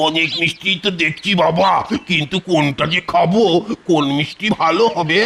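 Genial old grandfather, cartoon, male actor: creaky, raised voice, hesitant, repetitive phrasing. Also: fairly high pitch, steady tone, normal speed, a crowd in the background. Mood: genial, dithering. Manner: hesitant, musing, repeats himself. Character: dithering, genial old grandfather shopping for sweets. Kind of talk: cartoon voice acting. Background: music behind the voice.

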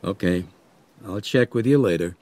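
A man's voice: low-pitched, normal speed, a little mellow, natural voice. A man voicing a firm, blunt, wary small-town police chief in an audiobook. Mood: businesslike. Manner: firm, blunt, plain-spoken.

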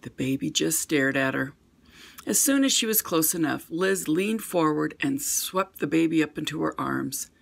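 An older woman reading a children's picture book aloud at home with gentle, expressive storytelling. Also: low voice, normal speed, gravelly, slightly rough.

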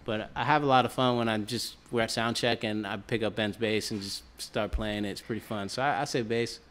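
A man in an office, a musician guest, answering questions in a relaxed, laid-back way. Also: lowish voice, fairly quick.

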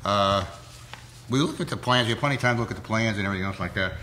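A hoarse man with a cold, chairing a zoning board meeting in plain, folksy words, heard through a table mic in a town hall. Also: lowish voice, very fast, bright, forceful tone, slight background noise. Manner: plain-spoken, folksy, many fillers.

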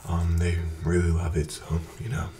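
A young man in his twenties doing an ASMR barber roleplay, talking softly and slipping into whispers, with calm, repetitive, soothing chatter into a close microphone. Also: sits low, normal speed, a little hiss or hum. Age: 20s. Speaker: ASMR creator playing a barber. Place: bright indoor room with plants. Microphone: close desk microphone in front of him.

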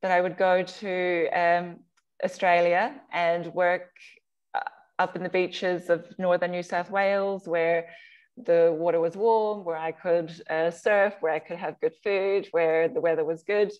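A woman, a surgeon giving an informal slide talk over a video call in conversational, halting phrases. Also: fairly low pitch, level tone, darkish tone, clear, resonant tone, highs cut off. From Samoa. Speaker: surgeon, guest speaker. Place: video call, remote webinar.

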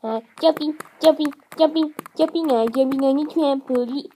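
A young-sounding female performer voicing a whiny, babyish four-year-old boy hamster in a homemade toy puppet skit, halting and repeating words. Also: sits high, voice pushed up. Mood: babyish, fussy.

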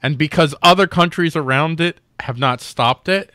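A man's voice: a little high, normal speed, bright, forceful tone, no echo, clean studio sound. A man hosting a political talk show over a video call, making his case earnestly and restarting phrases as he thinks aloud. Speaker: show host covering news stories.

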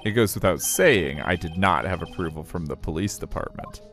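A man as a low-voiced, determined young lawyer. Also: animated, normal speed, over music. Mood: methodical. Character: determined young male defence lawyer, confident and argumentative.

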